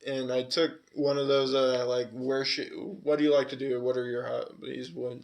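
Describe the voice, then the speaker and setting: A man's voice: mid-range voice, flat delivery, normal speed, vocal fry. A man from California with a slight Southwestern tinge, co-hosting a podcast in rambling casual chat with lots of fillers and swearing. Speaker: podcast co-host.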